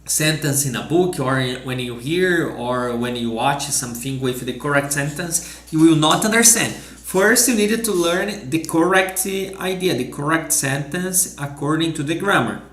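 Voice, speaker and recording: Lively Brazilian man teaching in an echoey room. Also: fairly high pitch. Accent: Brazilian Portuguese. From southern Brazil. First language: Portuguese. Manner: repeats phrases slowly, laughs often. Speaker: language teacher. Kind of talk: teaching with examples.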